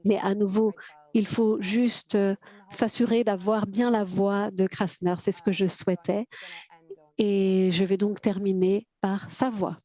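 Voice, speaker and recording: A woman interpreting a conference talk simultaneously over a video call. She speaks haltingly, with many restarts and fillers. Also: mid-range voice, little pitch movement, mellow.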